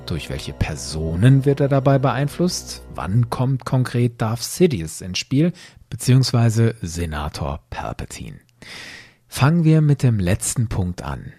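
Casting: male podcast host, lively intonation, mellow dry sound, emphatic scripted commentary with faint music. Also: medium pitch, a little slow.

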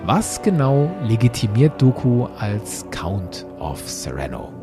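A man's voice: fairly low pitch, very animated, slow, over loud music. A man hosting a podcast, giving structured, explanatory commentary in a prepared narration style. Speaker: podcast host.

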